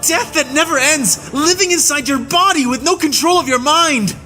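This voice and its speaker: A man playing an enraged human soldier, tied up and hurling a breathless, shouted tirade of hatred in an audiobook. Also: high voice, fairly even intonation, a little slow, gravelly, soft background music, pitched above the performer's own voice, exaggerated voice. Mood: raging. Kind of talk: audiobook reading.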